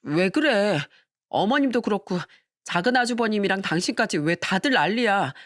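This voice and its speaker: A woman's voice: medium pitch, lively intonation, normal speed, no echo, studio quality, natural voice. A woman voicing an insolent, defensive sister-in-law who protests indignantly in a family drama story.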